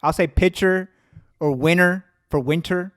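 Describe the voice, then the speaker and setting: Lively Chicago-accented podcast host. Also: male voice, high voice, unhurried, no echo, studio quality. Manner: animated, laughs often, trails into restarts. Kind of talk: casual conversation.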